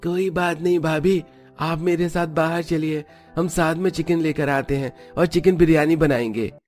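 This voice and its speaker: A man puts on an eager, boyish young brother-in-law for a cartoon drama. The voice is pushed well up high and sounds casual, over music. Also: fairly even intonation, normal speed, a little mellow, natural voice. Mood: helpful.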